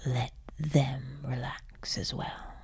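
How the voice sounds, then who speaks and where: A woman guides a nighttime meditation in a low-pitched, very breathy voice that often drops to a whisper. Her phrasing is slow and gentle, with drawn-out words and long pauses, and the tone is soft and mellow. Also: fairly even intonation.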